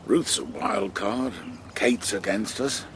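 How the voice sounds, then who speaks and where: A man's voice: medium pitch, slow pace, fairly bright tone, slight background noise. An actor playing a sardonic older farmer and father in a radio drama, dry and world-weary. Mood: gloomy. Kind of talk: naturalistic radio drama acting.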